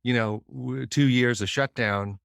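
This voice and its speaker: A man's voice: medium pitch, fairly even intonation, a little slow, gravelly, a bit bright, dry recording, clean studio sound. Rambling, thoughtful man from Boston. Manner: thoughtful, meandering, many restarts. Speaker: musician guest. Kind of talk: casual interview chat.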